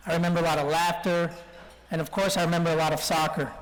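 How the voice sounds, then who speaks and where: A man's voice: fairly high pitch, little pitch movement, fast talker. A man in his forties from Colombia who grew up partly in Texas, giving an informal guest speech full of anecdotes and self-deprecating jokes. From Colombia, then Texas from childhood. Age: 40s. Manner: joking, anecdotal storytelling. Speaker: guest speaker. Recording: reverberant hall, speech over PA.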